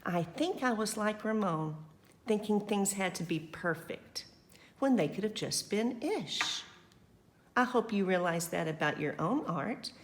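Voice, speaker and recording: A woman reading a picture book aloud as a school librarian, expressive and affectionate, with chatty, encouraging asides to young children. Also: medium pitch, a little slow.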